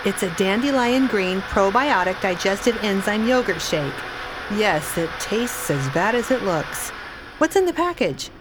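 A woman's voice: medium pitch, very animated, measured pace, noisy background. A woman playing a tired, earnest, exasperated wife in a radio play, passing on what her child says. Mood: matter-of-fact, then excited.

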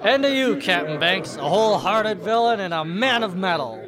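An actor playing a boastful, menacing pirate captain in an audio drama, with a blustering, swaggering delivery. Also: male voice, sits high, lively intonation, moderate pace, crowd noise. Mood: hearty.

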